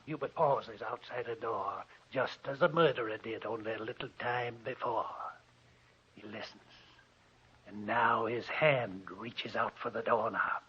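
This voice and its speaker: An actor playing a spooky, gloating old hermit who narrates murder in theatrical 1940s radio horror style. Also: male voice, mid-range voice, pushed and bright. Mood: ominous. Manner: theatrical, ominous, slow and deliberate. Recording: dull noisy old radio recording.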